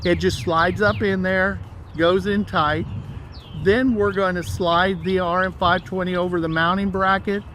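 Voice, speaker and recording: A man's voice: high-pitched, steady tone, a little slow, fairly bright tone, slight background noise. A man giving an instructional walkthrough as a trainer, explaining each step plainly.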